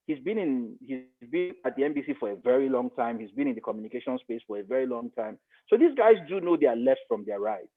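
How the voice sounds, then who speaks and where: A man's voice: mid-range voice, narrow, tinny audio. A middle-aged man with a Nigerian accent, explaining his view as an expert guest in a podcast interview and repeating points for emphasis. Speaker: guest, broadcast media expert.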